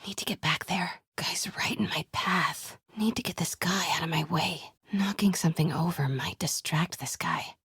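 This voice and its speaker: A very breathy, slightly low-pitched young American woman as a plucky investigative reporter in a video game. She sounds natural and determined, with a wry edge, in a dry, close recording. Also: normal speed, hardly any pauses, no room sound, heavily acted. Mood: hushed, tense. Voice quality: breathy, often hushed.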